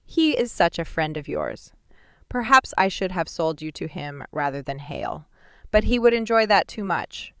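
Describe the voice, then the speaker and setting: A woman's voice: fairly low pitch, animated, normal speed, no room sound, clean studio sound. A woman voicing a cruel, mocking male sorcerer in an audiobook, with a sneering, coolly amused manner. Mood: taunting. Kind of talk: audiobook dialogue reading.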